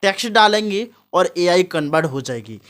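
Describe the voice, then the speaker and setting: A man's voice: high-pitched, lively intonation, moderate pace, fairly bright tone. A man hosting an informal, step-by-step screen tutorial. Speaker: tutorial host.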